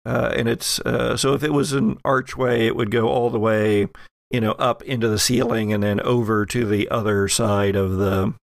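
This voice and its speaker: A man acting as game master, narrating an improvised horror tabletop game in a halting, suspense-building way. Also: mid-range voice, little pitch movement, vocal fry, no echo, clean studio sound. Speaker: game master of an actual-play podcast.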